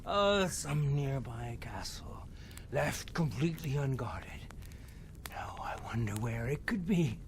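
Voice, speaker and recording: Captured mercenary for a game: taunting and defiant, drawn-out creaky delivery. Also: male voice, mid-range voice, expressive, a little hiss or hum. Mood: sly, whispering. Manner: taunting, slow, sneering. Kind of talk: video game cutscene acting.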